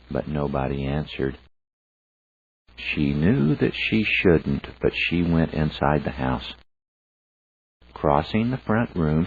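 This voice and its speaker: A man's voice: a bass voice, slight background noise. A man reading a fairy tale aloud as a scripted storyteller narration. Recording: phone-like, narrow band sound.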